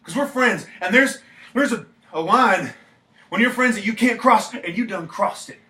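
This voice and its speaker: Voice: mid-range voice, normal speed, pushed and bright, natural voice. An actor playing an agitated, wounded male best friend in a staged play reading, stammering and emphatic as he repeats himself. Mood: flustered, indignant. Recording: echoey live room, distant mic, faint noise.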